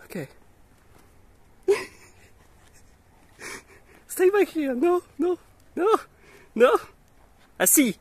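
Giggly high-voiced man, French native. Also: sing-song, extremely slow, pauses often, a little mellow, no echo. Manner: giggly, coaxing, laughs often. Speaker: vlogger. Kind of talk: casual chat while hiking. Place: outdoors on a dirt hillside path. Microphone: handheld camera filming while walking.

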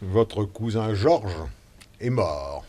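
An actor playing an impatient, evasive sheriff in a French radio drama. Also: male voice, lowish voice, lively intonation, extremely slow, a little mellow. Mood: brusque. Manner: brusque, evasive. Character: busy impatient small-town sheriff.

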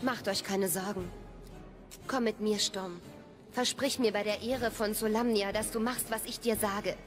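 A woman's voice: mid-range voice, fairly even intonation, measured pace, lightly acted. A woman playing a resolute, self-sacrificing heroine in a fantasy radio drama, solemn and steadfast. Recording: music under the voice.

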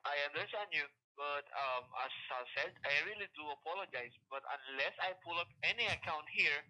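A male customer service agent with a very bright, fairly high voice, coming through a phone speaker. He is polite and formal, apologising as he explains what he cannot do. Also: brisk, rough recording.

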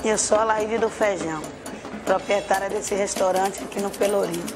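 A woman's voice: mid-range voice, steady tone, normal speed. Bahian woman talking over music. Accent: Bahian Brazilian Portuguese. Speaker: restaurant owner. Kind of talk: documentary self-introduction.

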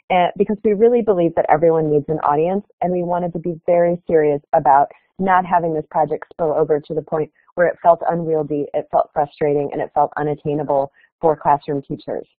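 A woman's voice: low voice, steady tone, brisk, no echo. A woman giving a keynote presentation at an online conference, explaining her project earnestly with some fillers over a video call. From Chicago area, United States. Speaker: keynote speaker. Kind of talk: webinar presentation. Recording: tinny phone-like online call audio.

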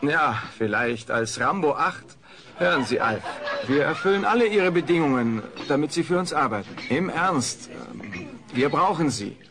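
An actor playing a pushy, ingratiating television executive in an audio drama. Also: male voice, a little high, expressive, moderate pace, lightly acted. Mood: eager. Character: eager fawning male TV programme executive. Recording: noisy cassette with audience behind.

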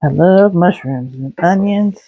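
A woman narrating a home cooking video in her kitchen, talking through each step in a relaxed way with small fillers. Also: fairly low pitch, a little slow, breathy edge, phone-quality sound. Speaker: home cook, channel host.

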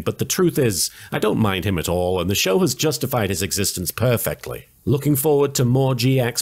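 A man hosting an anime recap, narrating scripted humor in a sarcastic, mock-serious way. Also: fairly low pitch. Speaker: host of an anime recap series.

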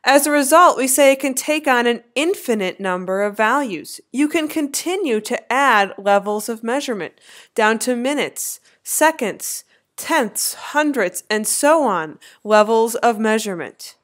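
A woman in her thirties narrating a slideshow lecture as an instructor, with clear, lively teacherly emphasis. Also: on the high side, measured pace. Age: 30s.

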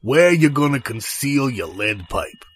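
A man playing a blunt, touchy mobster with a husky voice and curt wise-guy phrasing in an improvised comedy interview. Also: medium pitch, expressive, measured pace, no room sound. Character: gruff male mobster guest, touchy and blunt.

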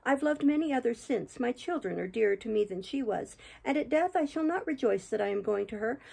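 A woman reading aloud and voicing a feverish, dying young woman, lifting her voice high in bitter, imploring speech. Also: lively intonation, fairly quick, creaky, darkish tone, breathy edge, exaggerated voice.

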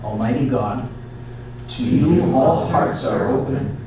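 A man speaking as a priest leading church worship, solemn and measured, in liturgy and prayer. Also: medium pitch. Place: church, indoors. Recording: echoey church from far off, noisy. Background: noise, room ambience.

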